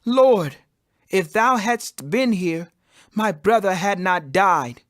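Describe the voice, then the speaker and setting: A man's voice: high-pitched, expressive, slow pace, a bit bright, dry recording, clean studio sound, exaggerated voice. A man voicing a grieving, devout woman who pleads earnestly in a dramatized scripture reading. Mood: grieving, pleading.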